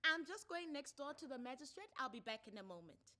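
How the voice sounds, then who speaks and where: A woman voicing a measured, formal female court counselor who questions deliberately in a dramatised story reading. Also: sits high, sing-song, pushed and bright, voice pushed up, heavily acted. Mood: firm, explanatory. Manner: deliberate, questioning, drawn-out phrasing.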